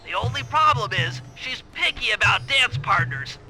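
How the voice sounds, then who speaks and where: A man's voice: high-pitched, big pitch swings, normal speed, bright, forceful tone, soft background music, full character voice. A man voicing a nerdy, cautious turtle mastermind in a cartoon game, with a nasal voice and precise, wordy, earnest briefings. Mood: pleased, instructive. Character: nerdy, cautious male turtle mastermind, planning heists over radio.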